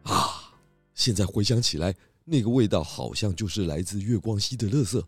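A man's voice: lowish voice, lively intonation, normal speed, breathy edge, dry recording, soft background music. A man playing a clever pig detective. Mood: calm, instructive. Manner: patient, coaxing and instructive. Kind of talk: children's radio drama acting.

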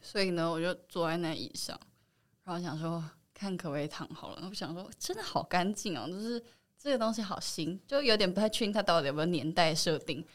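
A woman's voice: lowish voice, lively intonation, fast talker. A woman with a Taiwanese Mandarin accent, an actress guesting on a show and telling anecdotes in casual chat.

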